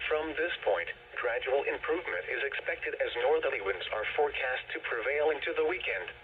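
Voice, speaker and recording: A synthetic-sounding male announcer reads a scripted weather bulletin over the radio in formal, impersonal, clipped phrases. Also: mid-range voice. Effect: radio broadcast. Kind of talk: scripted weather forecast and marine bulletin readout. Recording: narrow tinny radio band with static.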